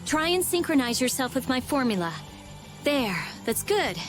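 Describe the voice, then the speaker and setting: A woman voicing a brusque, sharp-tongued teenage girl mage and researcher in an anime-style game. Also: sits high, very animated, normal speed, over music. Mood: focused, explaining.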